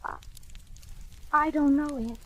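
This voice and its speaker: A woman's voice: sits high, fairly even intonation, slow, a bit bright, highs cut off. A woman playing a timid, sheltered nineteen-year-old daughter in an old radio drama, hesitant, stammering and pleading. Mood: anxious, pleading. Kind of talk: old-time radio acting.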